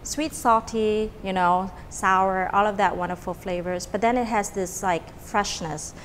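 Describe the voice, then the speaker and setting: A woman's voice: mid-range voice, fairly even intonation, unhurried, big-room sound, a little hiss or hum, poor audio. A middle-aged woman from Vietnam, a restaurant owner chatting casually in an interview, with many fillers and restarts. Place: restaurant dining room.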